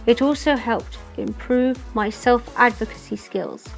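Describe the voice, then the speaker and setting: A woman in her twenties giving interview answers in a composed, measured way. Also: fairly high pitch, gravelly, faint music behind. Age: 20s. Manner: composed, measured, lists calmly. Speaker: young sports leader.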